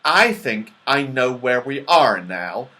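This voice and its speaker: A man voicing a bold, cheeky magical moon-faced man from a storybook, in a loud, declamatory way. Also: medium pitch, very animated, slow pace, exaggerated voice. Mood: helpful, confident.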